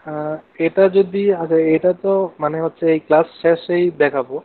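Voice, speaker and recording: A man's voice: on the high side, normal speed. A man with a Bangladeshi accent teaching a live online coding lesson step by step, mixing in English technical terms. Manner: explains step by step, asking questions of students. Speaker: programming instructor. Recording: narrow phone-like online class audio.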